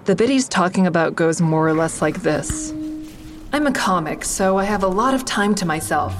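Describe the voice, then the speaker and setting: A woman's voice: on the low side, lively intonation, moderate pace, a little hiss or hum, close to an everyday voice. An actress playing a female comic, narrating her love life in an intimate, wry way. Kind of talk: first-person audio drama narration.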